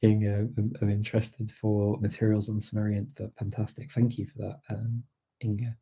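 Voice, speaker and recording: Low, gravelly, mellow-voiced man chatting. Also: monotone, normal speed. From Britain. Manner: rambling, enthusiastic, many fillers and restarts. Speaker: language-learning host answering viewer questions. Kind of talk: casual livestream chat.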